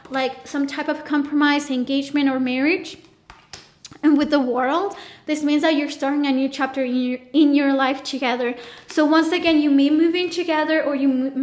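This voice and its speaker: A woman's voice: sits high, echoey room. A woman giving a casual tarot reading, chatting loosely and speaking straight to the viewer, her voice a little strained. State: voice feels off, a bit strained. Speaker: tarot reader.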